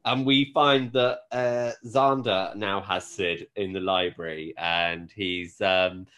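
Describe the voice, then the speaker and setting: A man's voice: low voice, steady tone, slow, a bit bright, clean tone. Rambling man in a casual chat. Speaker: podcast co-host recapping a TV show. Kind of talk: casual chat, episode recap.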